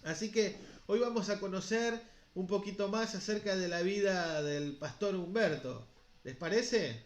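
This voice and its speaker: A man's voice: high-pitched, a little slow. Hesitant interviewer man, echoey room. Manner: hesitant, many fillers. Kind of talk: interview questions.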